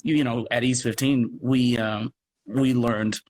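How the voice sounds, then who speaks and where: A man speaking as an interview guest in a casual, halting way, thinking aloud as he goes. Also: mid-range voice, steady tone, a little mellow, a little hiss or hum. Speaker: guest, audio drama producer and actor.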